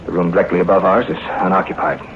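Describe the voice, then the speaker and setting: An actor playing an anxious husband writing a journal of dread in a 1950s radio horror drama, grave and measured. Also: male voice, low-pitched, level tone. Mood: tense, businesslike. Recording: noisy muffled vintage radio recording.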